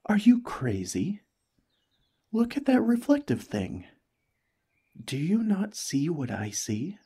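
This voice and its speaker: A man voicing a cheerful, friendly alien parasite in a gentle, chatty ASMR roleplay, eager and reassuring. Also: high-pitched, huge pitch swings, slow pace, slightly rough, exaggerated voice. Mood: cheerful, affectionate. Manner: gentle, chatty, playfully earnest.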